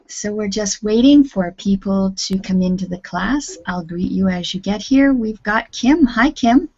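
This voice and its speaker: A woman from Canada teaching a beginner English class online, speaking slowly and clearly and laughing often. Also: mid-range voice, a little mellow. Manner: slow, clear teacher talk with frequent laughs. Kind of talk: friendly online class chat. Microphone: computer microphone on a video call. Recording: muffled lo-fi online call audio.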